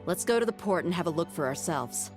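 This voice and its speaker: A woman's voice: fairly low pitch, animated, fast, over music, lightly acted. A woman playing a confident, wary mercenary tracker in a video game, speaking in an assured, matter-of-fact way.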